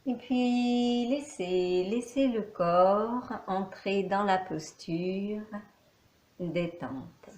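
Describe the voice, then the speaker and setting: A woman teaching yoga nidra, guiding a meditation calmly in short, measured phrases with pauses between them. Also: medium pitch. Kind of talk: guided meditation, calm instruction. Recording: echoey, reverberant room.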